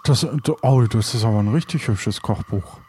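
A man's voice: fairly low pitch, normal speed, a little mellow, slight background noise, pitched below the performer's own voice, close to an everyday voice. A man playing a shy, eager pirate cook in an improvised audio drama, hesitant and repeating his words.

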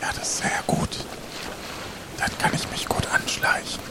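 A man playing a sneaky pirate crewman who talks in a breathy whisper. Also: normal speed, close to an everyday voice.

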